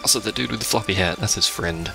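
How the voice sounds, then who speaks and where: A man with a low, creaky voice gives casual gameplay commentary. He sounds wry and sarcastic, with pitch that moves around a lot. Game music is clearly heard behind him. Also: quick pace. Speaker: let's play host.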